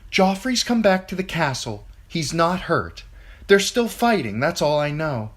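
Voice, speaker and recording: A man voicing a frightened young noble girl who tries to calm a crowd. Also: on the high side, unhurried, voice pushed up, heavily acted. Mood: reassuring. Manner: earnest, trying to soothe.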